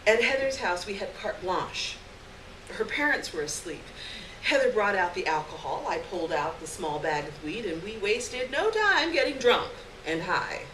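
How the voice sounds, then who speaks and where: A woman giving an expressive dramatic reading of a literary short story live on stage, acting out the dialogue. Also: mid-range voice, moderate pace. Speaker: story reader. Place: live stage event, recorded from a distance. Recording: roomy distant live recording, clear background noise.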